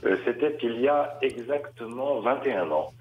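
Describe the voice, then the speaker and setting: A middle-aged man from western France, a Dominican priest, answering interview questions with many pauses and restarts. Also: medium pitch, lively intonation. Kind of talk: radio interview. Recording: roomy, slightly dull radio sound.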